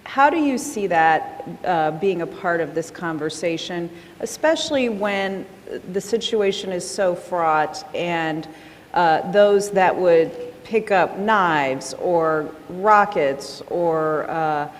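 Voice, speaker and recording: A woman's voice: fairly low pitch, takes it slowly. Woman moderator, hesitant, friendly, reverberant hall, faint background noise. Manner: hesitant, many fillers, friendly. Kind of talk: moderating a panel.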